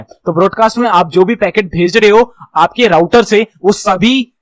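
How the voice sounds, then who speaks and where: Male instructor, high voice, didactic and repetitive explainer delivery, very dry recording. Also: no room sound. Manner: didactic, repetitive, often checking in with listeners. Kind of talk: explanatory computer networking lesson, reading notes and explaining them.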